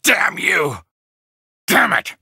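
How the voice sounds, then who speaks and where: An arrogant, godlike male villain from a fighting game. His voice is very sharp and forceful, with a creaky edge. He delivers clipped, menacing, condescending lines extremely slowly. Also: on the high side, sing-song, no room sound, studio quality, heavily acted. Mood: aggressive, shouting. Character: arrogant, cold male supervillain with god complex.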